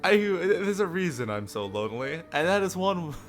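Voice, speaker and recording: A man's voice: high voice, very animated, moderate pace. A man livestreaming a visual novel, reading aloud theatrically and breaking off for playful, jokey asides. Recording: music behind the voice. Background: faint music.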